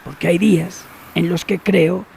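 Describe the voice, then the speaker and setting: An actor playing a secretive, cynical older man in a radio drama, who talks slowly and knowingly and drops to near whispers. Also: sits high, fairly even intonation, gravelly, a little mellow, a little hiss or hum, full character voice. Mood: sly.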